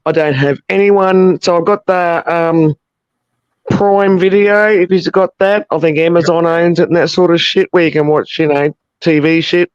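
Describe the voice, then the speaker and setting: An Australian woman with a deep voice calling into a livestream, telling a story bluntly and with plenty of swearing. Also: fairly even intonation, normal speed, darkish tone, clean tone, dry recording, muffled recording. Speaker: viewer caller. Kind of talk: casual chat.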